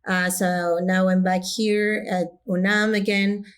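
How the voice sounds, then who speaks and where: A woman's voice: medium pitch, flat delivery, slow, clean tone. A woman scientist from Mexico, a guest in a casual interview, explaining her career in a friendly way with small fillers.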